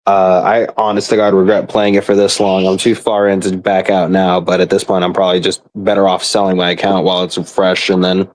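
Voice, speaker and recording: Low-voiced young man, exasperated gamer rant. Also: flat delivery, fairly quick, few pauses, a little hiss or hum, rough recording. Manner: exasperated, sweary, blunt. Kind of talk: casual rambling rant.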